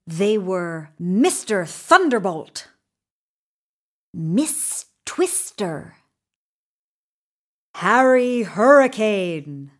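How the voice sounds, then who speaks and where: A woman narrating a children's story in an expressive, deliberate way with dramatic emphasis. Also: medium pitch.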